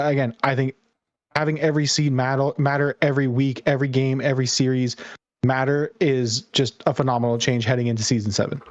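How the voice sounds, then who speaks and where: A man's voice: mid-range voice, no room sound, highs cut off. A man co-hosting a podcast with casual analysis, rambling and repeating words as he works through his thoughts. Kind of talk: casual esports discussion.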